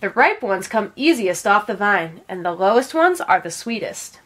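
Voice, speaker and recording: A woman's voice: medium pitch, very animated, normal speed, fairly bright tone. A woman voicing an observant young country boy from a storybook, in a singsong, matter-of-fact manner.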